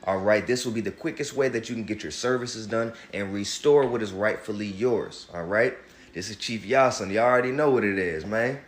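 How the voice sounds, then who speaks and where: A man's voice: on the low side, fairly quick. A man giving a casual social media monologue, slangy and preachy, calling his viewers y'all. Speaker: online commentator promoting a service.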